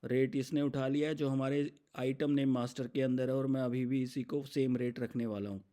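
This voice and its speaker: An Indian man presenting a step-by-step software demo in an explanatory, instructional manner, mixing in English terms. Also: mid-range voice, flat delivery, normal speed.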